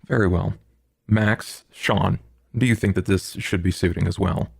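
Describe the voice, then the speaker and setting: A man playing a courtly, stern gentleman vampire, speaking in a measured, formal and proper way. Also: deep voice, fairly even intonation, vocal fry, slightly rough, dry recording, clean studio sound, exaggerated voice. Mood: formal, businesslike.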